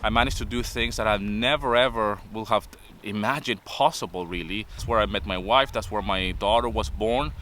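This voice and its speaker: A man's voice: lowish voice, normal speed, noisy background. A man in his fifties with a Venezuelan accent, giving a motivational talk to camera in an earnest tone. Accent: Venezuelan Spanish. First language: Spanish. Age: 50s. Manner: earnest, repeats points with "okay?". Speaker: vlogger.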